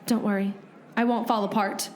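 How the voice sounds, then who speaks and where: A woman's voice: mid-range voice, level tone, moderate pace, echoey room, slight background noise, lightly acted. A woman playing a plucky, defiant dame in a noir radio play, with theatrical, melodramatic delivery.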